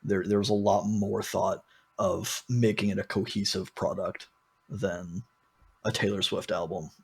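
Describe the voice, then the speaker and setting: Low, gravelly male podcast co-host. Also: level tone, measured pace. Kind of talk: casual music discussion.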